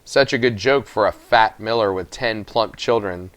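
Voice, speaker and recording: A man's voice: fairly low pitch, normal speed, a bit bright, dry recording. A man narrating a children's novel aloud from the text as a storybook reader.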